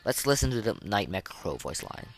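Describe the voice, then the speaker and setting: A man hosting a podcast in casual, slangy, excitable chat, reviewing game voice lines. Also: fairly low pitch, fairly quick. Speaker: podcast host.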